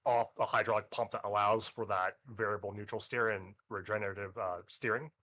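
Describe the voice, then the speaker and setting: A man's voice: on the low side, fairly even intonation, a little fast, bright, forceful tone, slight background noise. A man who is a community member, asking a detailed technical question in a live developer Q&A with a nervous, stumbling manner.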